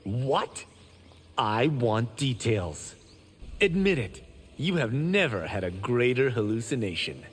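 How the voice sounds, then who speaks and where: Animated, cocky young warrior. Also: male voice, mid-range voice, slow, slightly rough, big-room sound, exaggerated voice. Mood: indignant. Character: cocky, boastful young male martial-arts warrior. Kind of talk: video game dialogue.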